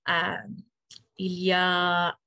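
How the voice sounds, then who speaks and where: A middle-aged woman, a municipal councillor answering interview questions haltingly, with many fillers and restarts. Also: on the low side, completely flat delivery, bright, forceful tone, clean tone. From Eastern Ontario, Canada.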